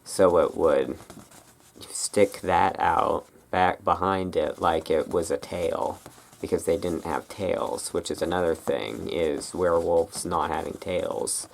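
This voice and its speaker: Low creaky voice, slow ASMR rambling. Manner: rambling, self-correcting, tentative. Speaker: ASMR video host. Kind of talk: casual rambling ASMR show-and-tell. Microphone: built-in camera microphone, close. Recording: noisy camera microphone with clicking sounds.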